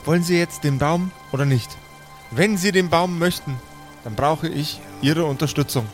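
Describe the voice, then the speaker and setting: A man's voice: fairly high pitch, moderate pace, natural voice. A man voicing an evasive dwarf woman who talks in formal politician's phrases. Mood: insistent. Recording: music playing behind the voice.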